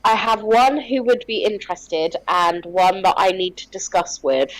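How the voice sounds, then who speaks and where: A woman's voice: mid-range voice, normal speed, no echo, poor audio. A woman player chatting casually with a cold, rambling and full of ums. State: stuffy with a cold, sniffling. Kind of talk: casual chat between game sessions.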